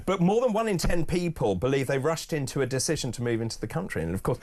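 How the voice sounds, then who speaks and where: A man's voice: mid-range voice, lively intonation, fast, darkish tone. A man hosting a morning talk show, chatty and teasing as he moves between stories. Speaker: host. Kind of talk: breakfast TV news chat.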